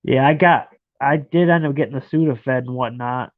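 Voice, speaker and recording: A man's voice: medium pitch, moderate pace, no echo. A stuffed-up man in his thirties rambles casually to his live stream viewers from bed. His voice comes through a thin, phone-like line. The sound is dry and close, with no room around it. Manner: rambling, chatty, talks to viewers by name. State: stuffed-up nose. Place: in bed at home.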